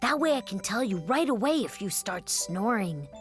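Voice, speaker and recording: A woman voicing a shy, affectionate little boy in a children's storybook, soft and hesitant. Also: medium pitch, very animated, normal speed, soft background music, lightly acted. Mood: timid, sweet.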